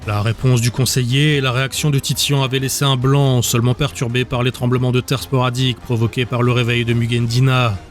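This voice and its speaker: Even-toned male audiobook narrator. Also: medium pitch, fairly quick, few pauses, soft background music. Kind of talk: scripted dramatic fantasy audiobook narration.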